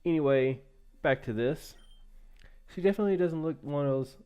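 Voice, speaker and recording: A young man with a deep voice reading a visual novel aloud and breaking off into playful asides. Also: normal speed, dark tone, clear, resonant tone. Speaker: gaming YouTuber.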